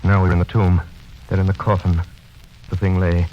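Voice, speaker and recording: An actor playing a haunted gentleman doctor, narrating in a formal, dramatic, deliberate 1930s radio drama style. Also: low-pitched, level tone, a little hiss or hum, natural voice. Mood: tense, horrified. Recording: dull old radio broadcast.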